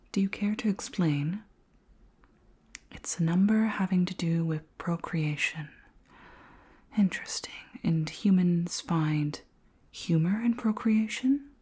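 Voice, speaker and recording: A very breathy, whispering alien queen, acted by a woman in an ASMR roleplay. She is curious, polite and regal, speaking slowly and formally in a low-pitched, soft voice. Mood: curious, puzzled. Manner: slow, formal, deliberate questioning.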